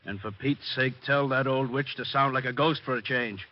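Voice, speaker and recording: An actor playing a sly, smooth-talking scheming doctor with calm authority in a 1940s radio crime drama. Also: male voice, mid-range voice, normal speed, close to an everyday voice. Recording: muffled old radio recording, faint music.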